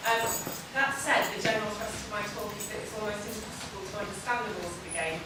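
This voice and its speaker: English woman lecturing in an echoey hall. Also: medium pitch. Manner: measured, frequent ums, slightly self-deprecating. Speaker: conference speaker. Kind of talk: academic lecture. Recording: echoey hall recorded from far off, noisy.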